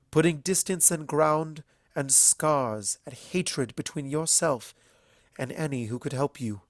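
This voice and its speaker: A man voicing a regal, earnest mare princess in a fantasy story reading, with solemn, emphatic and measured speech. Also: on the high side, dry recording, studio quality, pitched above the performer's own voice. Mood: solemn, compassionate.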